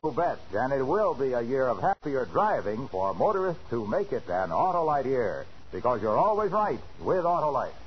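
An actor playing a jovial, eager pitchman in a florid, enthusiastic 1940s radio advertisement. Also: big pitch swings, normal speed, few pauses, close to an everyday voice. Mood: upbeat. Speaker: commercial announcer. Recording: dull, faintly noisy old radio broadcast.

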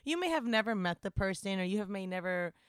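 A woman in her thirties with a New York accent, co-hosting a casual podcast and telling stories in a chatty, animated way. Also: medium pitch, fast talker, smooth, no room sound, studio quality. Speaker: podcast co-host.

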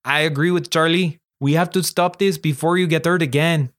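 A man's voice: a little high, steady tone, normal speed, a bit bright, pitched above the performer's own voice, lightly acted. A man voicing a wary, glum young schoolgirl with an earnest, sullen manner in a storybook reading. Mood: firm.

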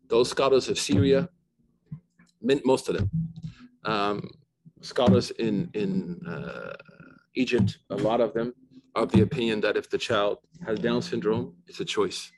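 A man's voice: fairly low pitch, background noise. Man giving a casual religious lecture. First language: not Arabic. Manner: conversational, many restarts and asides. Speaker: Islamic scholar lecturing. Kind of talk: informal lecture with Q&A.

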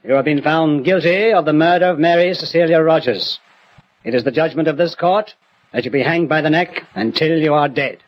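An actor playing a stern judge, formal and solemn, in a 1960s radio drama. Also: male voice, on the high side, a little fast, close to an everyday voice. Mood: grave, stern. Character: stern judge pronouncing a death sentence. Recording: muffled, crackly old radio broadcast.

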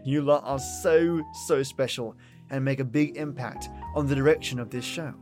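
A man's voice: mid-range voice, fairly even intonation, moderate pace. A man hosting a podcast, effusive and chummy as he addresses listeners in a scripted outro. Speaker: podcast host. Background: plucked guitar music.

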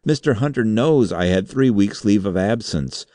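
A reader acting a frantic craven man, pitched high. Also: lively intonation, normal speed, warm tone, dry recording, clean studio sound, natural voice. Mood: panicked, protesting. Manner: stammering, frantic, wailing. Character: terrified craven guilty man, an undersecretary, pleading. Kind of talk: audiobook character acting.

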